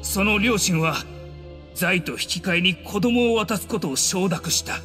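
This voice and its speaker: A man's voice: high-pitched, measured pace, pushed and bright, faint music behind. An actor playing a weary, guarded old scholar in a video game, full of regret, with old-man phrasing. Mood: regretful, confessing. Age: older. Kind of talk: dramatic video game acting.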